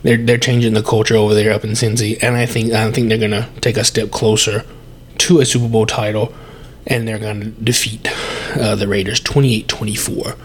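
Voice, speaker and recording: A man's voice: on the low side, little pitch movement, brisk, slightly rough. A young adult man hosting a solo sports podcast, congested and with a sore throat, chatty and breathing heavily as he corrects himself. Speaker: podcast host.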